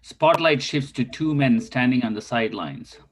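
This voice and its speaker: A man's voice: medium pitch, lively intonation, normal speed. A man reading out a synopsis and stage directions as the narrator of a play table read.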